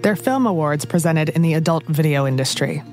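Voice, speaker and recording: A woman hosting a podcast, calmly narrating a scripted story. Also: deep voice, brisk, soft background music. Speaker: podcast host.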